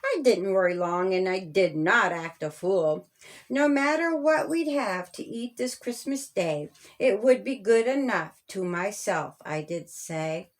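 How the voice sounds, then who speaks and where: A woman reading a children's storybook aloud as its author, in a measured, sing-song rhyming delivery. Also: medium pitch, animated.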